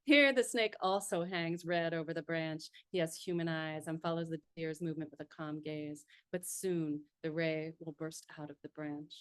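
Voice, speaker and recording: A woman's voice: deep voice, steady tone, normal speed, few pauses, clean tone. A woman instructor reading a poem aloud and leading a seminar discussion, thinking aloud with fillers.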